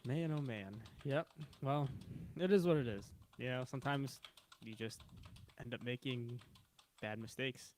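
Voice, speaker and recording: A man born in China whose first language is Mandarin, co-hosting a podcast in relaxed, profane banter. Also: medium pitch, a little slow, slight background noise. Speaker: podcast co-host. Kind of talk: casual podcast chat.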